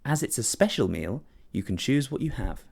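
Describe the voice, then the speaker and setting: A man's voice: medium pitch, big pitch swings, moderate pace, vocal fry, darkish tone, breathy edge, voice pushed up, exaggerated voice. A man reading a story and voicing the anxious mother of a young family. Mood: coaxing. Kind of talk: character dialogue in a story reading.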